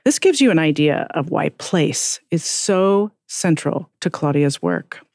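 A podcast host introducing a guest and asking gentle questions, answering with soft affirming murmurs. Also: medium pitch, expressive, measured pace, creaky, no echo, studio quality. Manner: gentle and affirming, many short murmured replies. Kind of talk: podcast interview.

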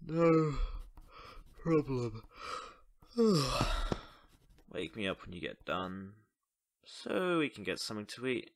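A man's voice: mid-range voice, sing-song, slow pace, creaky, pauses often, a little hiss or hum, full character voice. An actor playing an easygoing, loyal young guy in casual, naturalistic audio drama dialogue. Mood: reassuring.

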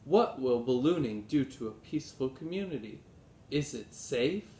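A man voicing a helpful, sensible older boy in a children's storybook, informative and measured. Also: expressive, darkish tone, close to an everyday voice. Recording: roomy echo, low rumbling noise.

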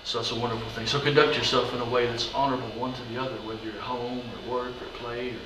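A man performing as an Elvis impersonator officiant, giving teasing, halting wedding ceremony patter in a chapel. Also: medium pitch, level tone. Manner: halting, drawling showman, teasing. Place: wedding chapel in Las Vegas. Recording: roomy, distant mic, noisy background.

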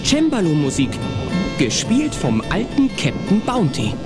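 A man narrating a children's audio play in a suspenseful, expressive storytelling style. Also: sits high, unhurried, full character voice. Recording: music under the voice. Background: music.

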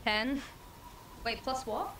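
A woman takes her turn in a tabletop game. She chats casually and asks unsure questions about the rules. Her voice is somewhat high for a woman. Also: expressive, slow pace, gravelly, over a noisy crowd, rough recording. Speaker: player.

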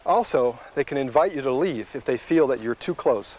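A man's voice: mid-range voice, animated, normal speed, a little mellow. A middle-aged man working as a wilderness guide, explaining things in a matter-of-fact, instructive way while hiking outdoors. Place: outdoors in open coastal tundra, on the move.

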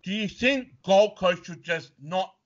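An Australian man hosting a podcast, asking questions in a relaxed, friendly way with frequent restarts. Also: sits high, measured pace. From New South Wales, Australia. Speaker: podcast host. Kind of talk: casual video call interview. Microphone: video call. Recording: dull lo-fi call audio.

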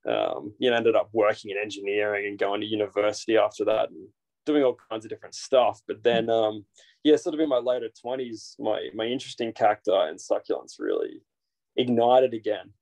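A man in his thirties with an Australian accent, a guest talking casually over a video call from a small room, relaxed and full of fillers. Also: lowish voice, brisk, vocal fry, low-quality recording. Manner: relaxed, rambling with frequent fillers and restarts. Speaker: guest, naturalist guide. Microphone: video call, built-in device mic.

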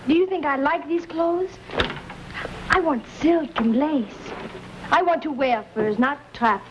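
A woman's voice: sits high, slow pace. A young woman, playing a proud, headstrong trapper's daughter in a 1950s Western. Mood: spirited. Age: young adult. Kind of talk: 1950s Western screen acting. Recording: dull, faintly noisy old film soundtrack.

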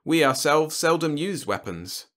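A man's voice: fairly high pitch, animated, measured pace, fairly bright tone, voice pushed up. Man playing a cool, formal human diplomat. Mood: suave. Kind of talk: audiobook character dialogue.

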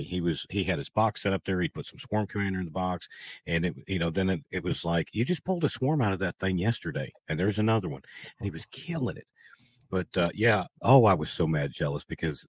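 A man's voice: low-pitched, fairly quick, breathy edge. A middle-aged man as a guest on a video call, telling teasing stories with lots of restarts. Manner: animated storyteller, teasing, full of restarts. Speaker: beekeeping guest. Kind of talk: casual interview chat. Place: small studio room with acoustic panels. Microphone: large studio mic on a boom, video call.

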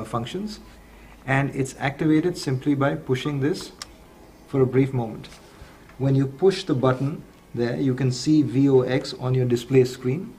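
A man presents a step-by-step product demonstration in a measured, explanatory way. His voice sits at a medium pitch. He is recorded indoors at a table, with some noise in the recording. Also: darkish tone. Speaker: presenter of a radio tutorial. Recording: clearly noisy room recording.

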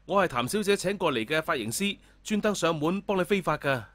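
A man's voice: sits high, animated, a little fast, a bit bright, no room sound, pitched above the performer's own voice. A man voicing a polite, awkward young male hairdresser in an audio story, deferential and hesitant. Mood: eager, polite.

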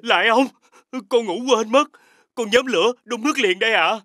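A man voicing a meek, dim, fawning servant in an animated folk tale, with a hesitant, deferential delivery. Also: extremely high pitch, moderate pace, no echo, clean studio sound. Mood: sleepy, flustered.

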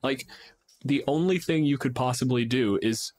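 A man's voice: mid-range voice, normal speed, a little mellow. A man co-hosting a podcast in a casual, chatty style about tabletop games. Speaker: podcast co-host.

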